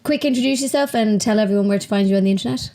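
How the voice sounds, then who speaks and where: A woman's voice: medium pitch, very fast, mellow. A woman hosting a podcast, interviewing a guest in a casual, conversational way. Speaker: podcast host.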